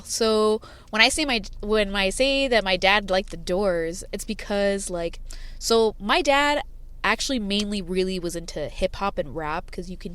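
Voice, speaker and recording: A woman who grew up with sign language as her first language, chatting as a band's singer-songwriter in a parked car, rambling with many fillers and laughs. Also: mid-range voice. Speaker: band singer-songwriter, guest. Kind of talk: casual interview chat.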